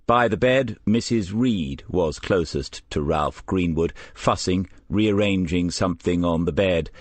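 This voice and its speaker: A man's voice: low-pitched, dry recording. A man reading a crime story as scripted audiobook narration.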